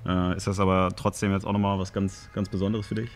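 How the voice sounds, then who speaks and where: Young man, press moderator, flat low voice, faint background noise. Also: quick pace, soft background music. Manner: informal, leading questions with fillers.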